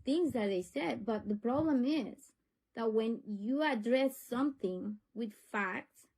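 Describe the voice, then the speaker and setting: A woman's voice: fairly high pitch, slow, a little mellow, slightly rough, low-quality recording. A middle-aged woman from Honduras, a native Spanish speaker with a Honduran accent, earnestly and insistently making her case in a serious conversation. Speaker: parent of a pupil.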